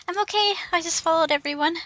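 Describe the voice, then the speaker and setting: Woman, very high bright voice, creaky edges, casual game stream commentary, flustered self-talk, light music behind. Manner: giggly, easily flustered, self-talking. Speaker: livestreamer. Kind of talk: casual live gameplay commentary. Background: background music.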